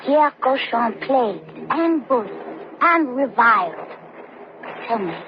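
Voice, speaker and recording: An actress playing a devout, defiant nineteen-year-old prisoner in a radio drama, impassioned and declamatory in formal phrases. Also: female voice, fairly high pitch, animated, takes it slowly. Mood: defiant, accusing. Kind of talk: 1970s radio drama acting. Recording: dull, very noisy old radio broadcast recording.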